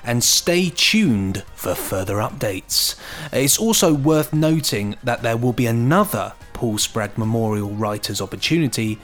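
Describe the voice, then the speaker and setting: A man of medium pitch co-hosts a podcast with faint music behind him. His chat is casual and jokey, excitable, and hesitant as he hunts for the right word. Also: measured pace. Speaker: podcast co-host.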